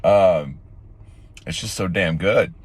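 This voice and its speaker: A man with a raspy, sore-throated voice, casually talking to his viewers in a rambling vlog with many fillers and restarts. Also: low voice, slow. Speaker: vlogger. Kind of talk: casual morning vlog talk.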